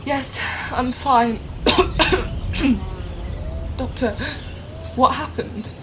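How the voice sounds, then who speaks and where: A woman's voice: high voice, fairly even intonation, very slow. A woman playing an exasperated young time-travel companion in an amateur audio drama, indignant and scolding. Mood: relieved, confused. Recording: muffled home recording, noticeable noise.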